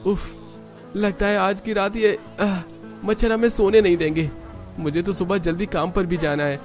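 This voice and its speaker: A man's voice: sits high, fairly even intonation, normal speed, soft background music, pitched above the performer's own voice, close to an everyday voice. A man voicing an apologetic, worried young husband of small means in a cartoon moral story. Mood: frustrated. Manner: apologetic, earnest.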